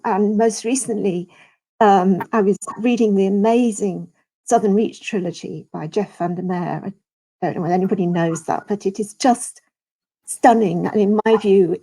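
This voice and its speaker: A woman literature scholar talking through ideas in an online seminar, thoughtful and animated. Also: medium pitch, rough recording. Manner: thoughtful, animated, academic phrasing. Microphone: video call.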